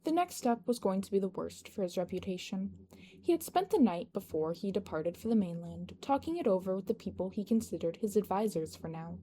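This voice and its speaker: A woman's voice: mid-range voice, big pitch swings, brisk, mellow. A woman reading a scripted fan-fiction story aloud as its narrator. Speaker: podfic narrator. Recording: noticeable background noise.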